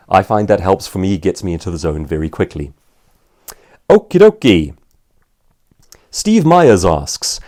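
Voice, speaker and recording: Animated, mellow-voiced male speaker. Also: on the low side. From South Africa. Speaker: author and professional speaker. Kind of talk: informal seminar answering viewers' questions.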